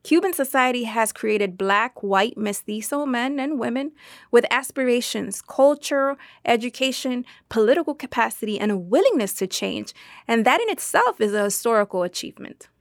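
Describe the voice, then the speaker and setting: A woman reading a dubbed translation of an interview answer in an articulate, deliberate, formal way. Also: a little high, animated, no echo, clean studio sound. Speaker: voice-over translator dubbing an interview guest. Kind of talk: measured, read dubbed translation.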